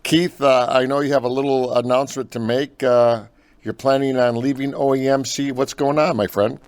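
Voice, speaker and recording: A man's voice: mid-range voice, fairly even intonation, moderate pace, a little mellow, no room sound. An American man hosting a conversational phone interview in a friendly, familiar way. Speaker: interviewer, host.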